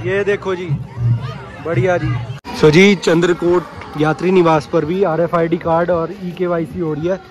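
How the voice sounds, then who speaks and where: Chatty man filming a road trip. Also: sits high. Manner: chatty, keeps saying "so ji" and "dekh sakte ho". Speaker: travel vlogger. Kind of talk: handheld travel vlog narration. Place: outdoors and at a pilgrim rest house.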